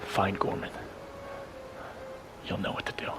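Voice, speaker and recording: A man acting as a gravely wounded, resolute special forces commander in a video game cutscene, weary and solemn as he gives halting orders. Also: lightly acted. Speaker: military commander. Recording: loud music behind the voice.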